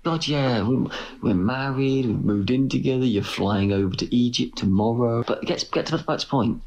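A man's voice: medium pitch, moderate pace, lo-fi sound. A middle-aged English man chatting boastfully on a phone live stream, praising his own voice. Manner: boastful, self-flattering. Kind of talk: casual live stream chat.

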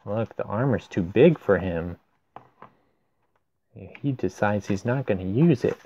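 A man's voice: on the low side, lively intonation, a little slow, mellow, highs cut off. A man reading a rhyming children's picture book aloud, adding simple casual explanations for young listeners. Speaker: storyteller.

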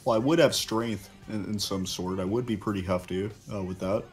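A man's voice: on the low side, normal speed, faint music behind, lightly acted. A man voicing a level-headed cartoon ninja chameleon, dry and placating, hesitating as he banters off the cuff. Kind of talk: improvised in-character banter.

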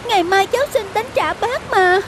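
A woman's voice: very high voice, normal speed, a little hiss or hum. A woman voicing a timid, polite, earnest little girl in a cartoon. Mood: anxious. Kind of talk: cartoon dialogue.

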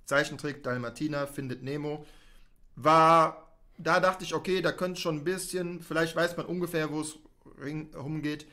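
A man's voice: on the high side, fairly even intonation, normal speed, pushed and bright. Man delivering a live battle rap. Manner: rhythmic, punchy delivery that builds to a payoff. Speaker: battle rapper.

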